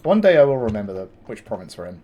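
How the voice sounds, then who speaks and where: A man with a gravelly morning voice, casually commenting on his game as he plays, thinking aloud with fillers and restarts. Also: on the low side, very animated, quick pace, warm tone, lo-fi sound. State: tired morning voice. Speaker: gameplay commentator. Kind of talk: casual let's-play commentary.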